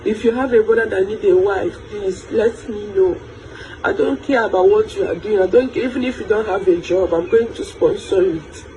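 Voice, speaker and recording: A woman's voice: mid-range voice, normal speed, warm tone, a little hiss or hum, low-quality recording. Pleading woman in her thirties. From Nigeria. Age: 30s. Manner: repetitive, pleading. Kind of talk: pleading social media video.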